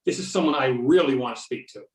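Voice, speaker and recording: A man's voice: mid-range voice, fast talker, close to an everyday voice. A man playing an earnest, argumentative agent who believes in UFOs, reading in an amateur readers theater over a video call. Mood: keen, insistent. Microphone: video or conference call. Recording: roomy, distant video-conference microphone.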